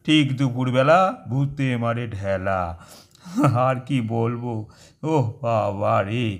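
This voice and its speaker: Casting: man to play grumbling cartoon family man, mid-pitched, full of exclamations and complaints. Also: slow pace. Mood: dazed, complaining. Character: grumbling, put-upon adult male relative in a cartoon. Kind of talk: cartoon voice acting.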